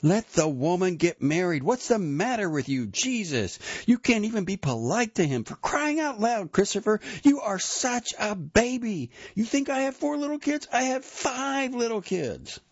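A man voicing a furious, exasperated young mother who scolds her husband loudly and emphatically in an audiobook story. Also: deep voice, fairly even intonation, brisk, hardly any pauses, husky, no room sound, dull audio.

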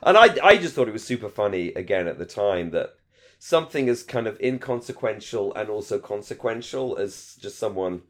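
A man's voice: on the low side, expressive, moderate pace. A man with a British accent in casual discussion, hesitant and chatty, often circling back to rephrase himself. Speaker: podcast co-host. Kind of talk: casual chat, discussion of a radio comedy.

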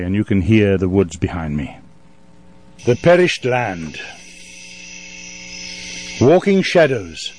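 An older man who hosts a radio show and performs poetry, talking and reciting in a literary storyteller's style. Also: mid-range voice. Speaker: radio host and poet. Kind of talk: casual introduction and dramatic poetry recital. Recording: steady hum and background noise.

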